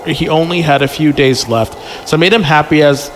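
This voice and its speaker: A man's voice: on the high side, fairly quick, a bit bright. A man reading a story aloud informally as a podcast host, stumbling over lines and tossing in joking asides.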